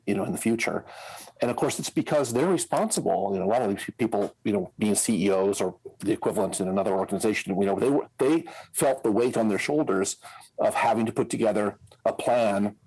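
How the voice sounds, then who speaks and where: Older american guy, midwest accent, kinda husky, lots of ums and restarts as he talks. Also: fairly low pitch, a little mellow, rough recording. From United States. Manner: rambling, many fillers and restarts. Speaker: guest, scenario planner. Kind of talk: casual interview talk.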